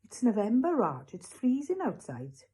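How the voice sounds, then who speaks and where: A woman's voice: fairly high pitch, wildly swooping pitch, normal speed, dark tone, husky, voice pushed up, exaggerated voice. A woman voicing a polite, sceptical young boy in a storybook, questioning and incredulous. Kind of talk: storybook character voice.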